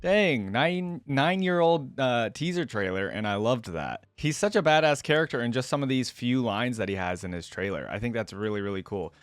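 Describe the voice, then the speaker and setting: A man's voice: mid-range voice, expressive, moderate pace, hardly any pauses, smooth, no echo. A man giving casual reaction commentary, informally thinking aloud as he hosts. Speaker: reaction video host.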